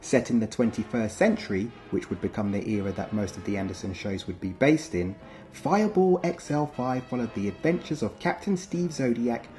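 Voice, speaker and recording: A man's voice: medium pitch, animated, moderate pace, dark tone, soft background music. A man reading scripted narration in the style of a nostalgic documentary countdown.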